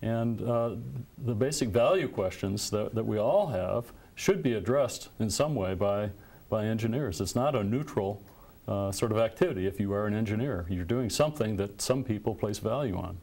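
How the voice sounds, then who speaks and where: Older guy professor, lowish voice, calm explaining on a tv panel. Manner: measured, explanatory. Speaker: philosophy professor, panel guest. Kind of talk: studio panel discussion. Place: television studio set. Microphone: lapel mic.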